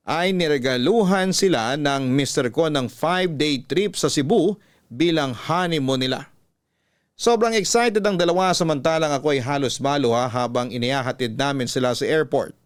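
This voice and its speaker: A man reading a scripted emotional story in a measured, emotive way, like a radio storyteller. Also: a little high. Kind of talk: dramatic scripted story narration.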